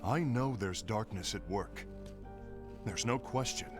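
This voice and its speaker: A man's voice: on the low side, measured pace, lightly acted. An actor playing an earnest male leader in a game cutscene, persuasive and indignant. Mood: urgent. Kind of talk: video game cutscene acting. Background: game score music.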